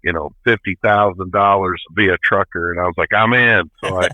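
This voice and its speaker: A man's voice: low voice, steady tone, brisk, no echo. A plain-spoken, folksy man in his fifties telling stories casually as a truck driver being interviewed. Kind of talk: casual storytelling. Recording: tinny phone-like line.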